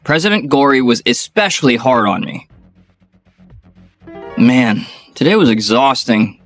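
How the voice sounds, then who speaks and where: A man's voice: mid-range voice, very animated, a little slow, a bit bright, faint music behind. A man narrating and speaking as a weary, apologetic office worker in a manga dub. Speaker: narrator and lead character.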